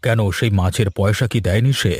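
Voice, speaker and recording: A man voicing an easygoing village gentleman and husband in a cartoon story, expressive and placating. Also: fairly low pitch, fairly quick, husky, no room sound. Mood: questioning.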